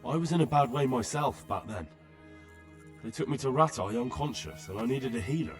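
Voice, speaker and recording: An actor playing an earnest, polite young blacksmith who is eager to help, somewhat tentative, in a video game drama. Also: male voice, medium pitch, animated, moderate pace, faint music behind, close to an everyday voice.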